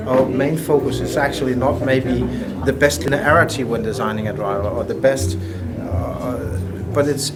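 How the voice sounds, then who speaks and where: A man in his forties with a Danish accent, a loudspeaker designer explaining his engineering in an earnest, slightly halting way in a showroom. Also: medium pitch, very noisy. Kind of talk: technical interview answers. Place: indoor hi-fi showroom.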